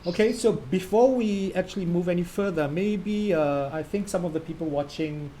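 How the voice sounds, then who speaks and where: A man's voice: sits high, moderate pace, warm tone, slight background noise. A man hosting a live online interview, asking long, rambling questions full of "you know". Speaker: host of a live interview series.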